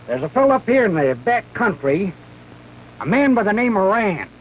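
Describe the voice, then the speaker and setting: Old Western trail hand, high lively rural drawl, rambling, on noisy vintage film sound. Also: male voice, normal speed. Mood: folksy, cautioning. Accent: rural American West. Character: folksy, talkative old frontier trail hand. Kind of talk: 1930s B-western film acting.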